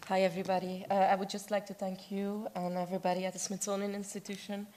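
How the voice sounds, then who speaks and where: A woman's voice: mid-range voice, completely flat delivery, quick pace, dark tone, slight background noise. A woman giving a prepared conference presentation as an objects conservator, with a voice that is giving out. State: losing her voice.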